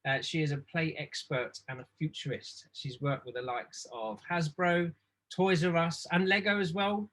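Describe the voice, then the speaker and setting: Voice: mid-range voice, steady tone, moderate pace, fairly bright tone. Eager host stumbling through an intro. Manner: enthusiastic, stumbling with many fillers. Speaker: podcast host, interviewer. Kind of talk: casual interview chat.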